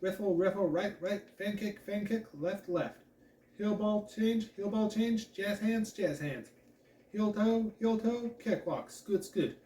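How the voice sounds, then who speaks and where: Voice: mid-range voice, slow, mellow, lightly acted. A man voicing a stern, gushy female dance instructor with a French accent in a storybook. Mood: brisk, commanding. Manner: effusive when praising, barking when displeased. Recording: echoey room.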